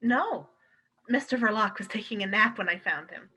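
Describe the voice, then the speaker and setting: A woman acting in a live radio play as a brisk, firm but kindly wife who runs a cinema. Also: mid-range voice, expressive, bright, forceful tone. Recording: clearly noisy streamed audio, clicks.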